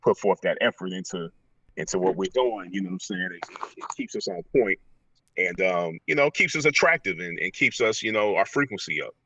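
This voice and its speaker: A man's voice: sits low, lively intonation, a little fast, sharp tone. A man giving casual motivational talk as an interview guest, slangy and emphatic, often repeating phrases for effect. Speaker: guest, motivational speaker and author. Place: in a car during a remote call. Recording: slightly distant mic.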